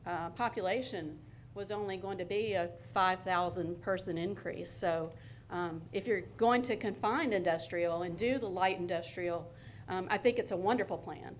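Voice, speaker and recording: A woman's voice: lowish voice, moderate pace, slight background noise. Earnest woman with many ums. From Georgia, USA. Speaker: resident giving public comment. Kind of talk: public comment at a city council hearing. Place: city council chamber.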